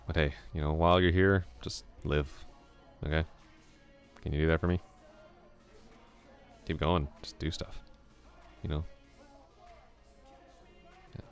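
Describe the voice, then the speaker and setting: A chatty young male classmate , voiced by a man for an ASMR roleplay, with an extremely deep, low voice. He rambles softly and thoughtfully, musing aloud to the listener. Small chuckles slip into his talk. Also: fairly even intonation, slow, long pauses, faint music behind, full character voice.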